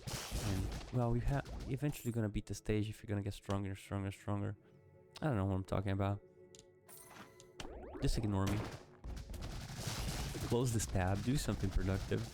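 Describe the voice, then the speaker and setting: A man's voice: on the low side, steady tone, moderate pace, long pauses, music in the background. A man with a Brazilian accent, a native Portuguese speaker, giving casual, rambling, self-mocking commentary while playing a game and swearing freely. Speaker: gaming video creator.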